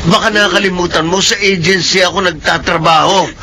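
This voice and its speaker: A man playing a cruel, boastful lover in a radio drama, loud, menacing and taunting. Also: high-pitched, steady tone, fairly quick. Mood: taunting, gloating.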